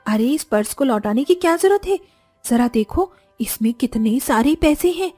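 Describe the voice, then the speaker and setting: A woman's voice: high voice, animated, moderate pace, mellow, husky, soft background music. A woman playing a greedy, scolding village wife in a moral story, full of exclamations.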